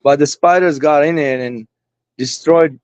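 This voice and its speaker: A man's voice: a little high, measured pace, smooth, dry recording. A man in his forties from Lebanon with an Armenian-Lebanese accent, Armenian as his first language, chatting at length as a guest about his hobby. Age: 40s. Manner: rambling, talkative, chatty. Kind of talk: casual chat.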